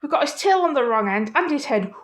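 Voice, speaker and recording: Woman as a playful high-voiced little skeleton. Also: animated, a little fast, a little hiss or hum. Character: little male skeleton, playful mischievous sidekick in children's storybook.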